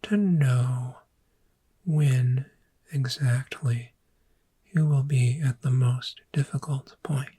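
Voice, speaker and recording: Whispery, low-pitched, extremely unhurried, gentle dragon therapist played by a woman, soothing ASMR guided visualization. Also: exaggerated voice. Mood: soothing, encouraging. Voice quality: breathy, whispery. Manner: hushed, slow, deliberate, soothing. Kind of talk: soft-spoken ASMR guided imagery.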